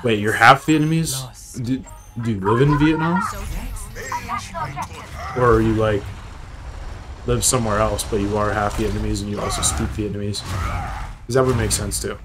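A man's voice: fairly low pitch, moderate pace, long pauses. A young American man streaming a shooter game, joking, swearing and chatting with viewers between fights. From United States. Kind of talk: casual gameplay commentary talking with chat. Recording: game audio and music behind.

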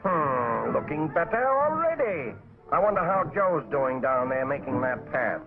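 A man voicing a brisk, bossy, wisecracking cartoon animal who gives folksy instruction in a 1940s training film. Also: fairly high pitch, very animated, over music. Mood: directing. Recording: muffled, tinny 1940s film soundtrack.

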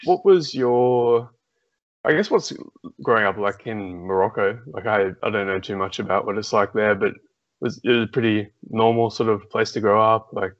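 A man's voice: fairly low pitch, normal speed. A young man hosting an interview show, introducing his guest and asking questions in a friendly way over a video call. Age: young adult. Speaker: podcast host. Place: small room indoors. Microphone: video call with earphones. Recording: muffled video call audio.

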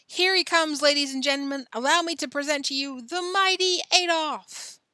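A woman's voice: high-pitched, bright, forceful tone, no echo, studio quality, voice pushed up. A woman voicing a showy, bombastic male circus ringmaster in a storybook, with a grandiose announcer's delivery. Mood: showy, booming. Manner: grandiose, drawn-out barker's delivery.

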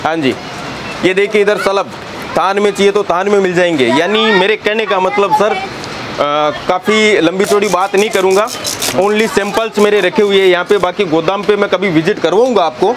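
A young man who owns a fabric shop gives a fast sales tour, listing fabrics and prices in a brisk patter, recorded on a lapel mic in a busy warehouse. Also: high voice, fairly even intonation. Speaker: shop owner. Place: crowded fabric warehouse, indoors. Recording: crowd noise in a busy warehouse.

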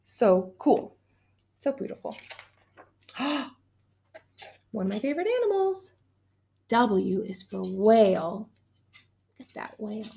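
Teacher, woman in her thirties, slightly higher voice, animated storytime delivery for kids, webcam sound. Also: vocal fry. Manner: animated, gushing, talks directly to children. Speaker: teacher reading aloud. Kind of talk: children's read-aloud. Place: small indoor room at home. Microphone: webcam at desk distance.

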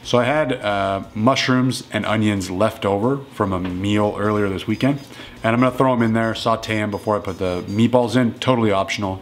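A man in his thirties casually walking through home meals in his kitchen, relaxed and matter-of-fact. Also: fairly low pitch, slight background noise. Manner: relaxed and matter-of-fact, plain step-by-step talk. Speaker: strength coach cooking at home. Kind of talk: casual cooking walkthrough.

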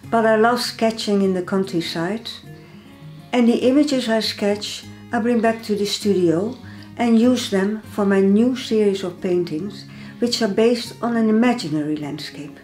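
Older dutch lady talking through her paintings, calm and measured, soft guitar music underneath. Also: mid-range voice. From Netherlands. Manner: calm, measured, slightly non-native phrasing. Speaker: artist. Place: home art studio, indoors.

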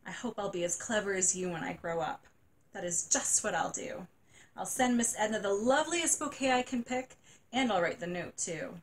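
A woman's voice: medium pitch, expressive, normal speed, close to an everyday voice. A woman voicing a tearful, gushing nine-year-old girl in a storybook reading. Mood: delighted. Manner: sobbing, breathless and gushing.

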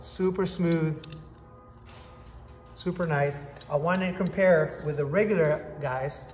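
A man in his thirties giving an upbeat, casual product review to a phone camera in a workshop. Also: fairly high pitch. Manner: upbeat, enthusiastic, demonstrating with hands. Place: indoor workshop with hard walls. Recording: echoey room, faint background noise.

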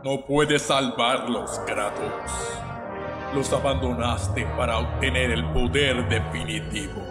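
A man acts out a menacing god of war for a video game dub, with a Latin American accent. He taunts slowly and grandly. The voice echoes under very loud music. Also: medium pitch, steady tone, lightly acted. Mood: menacing, taunting. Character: menacing, vengeful adult male god of war.

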